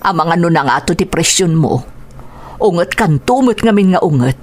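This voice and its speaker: Worried nagging wife and mother, Ilocano accent, lowish female voice, caring, radio drama. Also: expressive, normal speed. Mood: worried, scolding. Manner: concerned, gently scolding. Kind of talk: Filipino radio drama acting.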